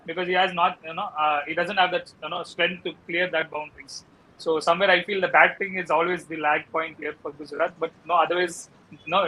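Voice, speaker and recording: Casting: South Indian male cricket panelist, Kannada native, high voice, bright tone, casual analysis peppered with fillers. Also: slight background noise, poor audio. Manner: repeats "you know" as filler.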